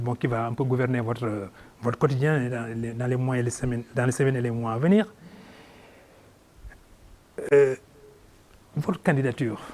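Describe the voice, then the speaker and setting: Male TV host, middle pitch, long meandering questions, lots of hesitations. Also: a little mellow. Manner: long winding questions with hesitations, many backchannels. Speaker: TV interviewer. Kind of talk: televised interview.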